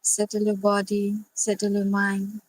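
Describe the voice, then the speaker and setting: A woman leading a guided sleep meditation, speaking gently and soothingly in short phrases with long pauses. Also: mid-range voice, completely flat delivery, a little hiss or hum, low-quality recording.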